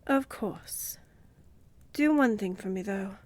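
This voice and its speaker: A woman playing a poised vampire countess in a fantasy audio drama, measured and regal, condescendingly affectionate, with a breathy voice that often drops to a whisper. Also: medium pitch, no echo, close to an everyday voice. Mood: soft, commanding. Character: poised, commanding young vampire countess, coolly indulgent.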